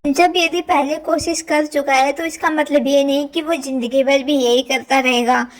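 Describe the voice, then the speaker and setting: High-pitched woman giving opinionated commentary. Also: steady tone, normal speed. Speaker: reaction vlogger. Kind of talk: informal gossip commentary.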